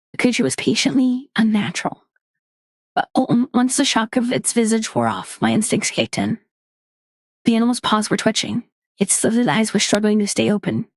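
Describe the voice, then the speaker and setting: Woman reading a dry, close horror tale. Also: no echo. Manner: measured storytelling, drops low and quiet for tense moments. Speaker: narrator. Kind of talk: dramatic reading of a horror story.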